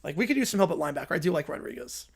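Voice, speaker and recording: A man's voice: on the high side, very animated, very fast, vocal fry, breathy edge. Young man calling college football live. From Long Island, New York. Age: 20s. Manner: chatty, answers viewer questions between plays. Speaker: livestream host and play-by-play commentator. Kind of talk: casual live play-by-play with viewer chat.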